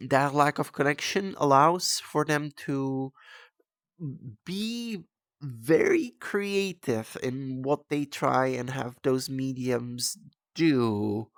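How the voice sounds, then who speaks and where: Slow, creaky-voiced young Czech man. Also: mid-range voice, a bit bright, no room sound, clean studio sound. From Czech Republic. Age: 20s. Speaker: video creator and host. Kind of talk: casual rambling video essay, off the cuff.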